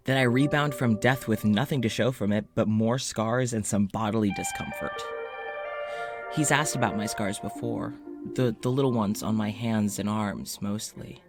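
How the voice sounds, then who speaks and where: A man's voice: medium pitch, lightly acted. A man playing an anxious, self-doubting scientist in a confessional audio drama monologue, speaking hesitantly with sighs and self-questioning. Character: anxious, self-doubting male scientist who studies creatures, secretly undying. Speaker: lead voice actor in a fiction podcast. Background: music.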